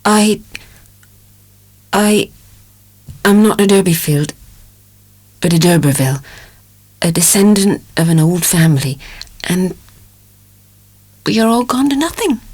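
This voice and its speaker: A woman's voice: lowish voice, expressive, measured pace, pauses often, darkish tone, husky. An actress playing an anguished, tender young dairymaid in love in a dramatic audiobook, hesitant and impassioned as she hides a secret. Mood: nervous, halting.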